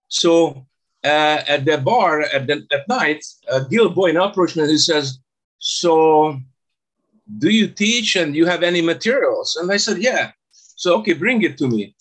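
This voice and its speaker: An older man from Hungary with a Hungarian accent, telling stories casually as an interview guest in halting, non-native English phrasing. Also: fairly high pitch, lively intonation, fairly bright tone, poor audio. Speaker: interview guest, stage hypnotist. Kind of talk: casual storytelling about own career.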